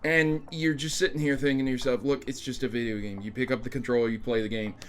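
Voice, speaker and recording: A man's voice: medium pitch, fairly even intonation, fast. A man hosting a video game news show, giving casual, emphatic commentary with rambling asides and addressing his audience directly. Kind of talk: casual scripted-topic news commentary to camera.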